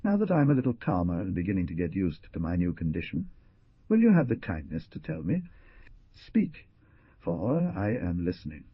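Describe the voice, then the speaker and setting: A man's voice: low-pitched, wildly swooping pitch, moderate pace, slightly rough, dry recording, exaggerated voice. A man voicing a newly freed man who is overjoyed and grateful, speaking in fervent, pleading exclamations. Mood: earnest. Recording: dull lo-fi recording.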